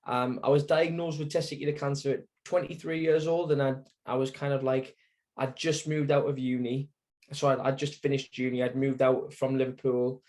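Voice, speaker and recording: A man's voice: medium pitch, flat delivery, normal speed, a little mellow. A young man with a Sunderland accent, chatting as an interview guest in a plain mid-range voice. He rambles through his story, circling back with fillers and restarts. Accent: Sunderland (Mackem). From Sunderland, England. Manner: rambling, lots of fillers and self-corrections.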